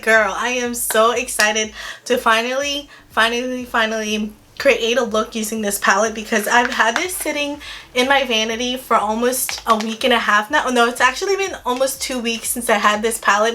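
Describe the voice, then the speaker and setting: A young woman with a slightly raspy voice, chatting casually and gushing through a makeup review for her channel. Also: a little high, pushed and bright. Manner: chatty and gushing, frequent "like" and "girl". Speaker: beauty vlogger.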